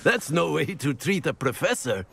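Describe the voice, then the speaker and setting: A man's voice: a little high, very animated, normal speed, vocal fry, very noisy. A man playing a jovial older wizard professor in a video game, with a grandiose, genteel and playful manner. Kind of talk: video game character acting.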